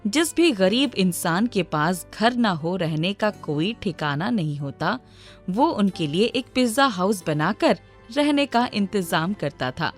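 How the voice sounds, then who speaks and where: A woman's voice: medium pitch, animated, unhurried, soft background music. A woman reading a scripted children's moral story as its narrator.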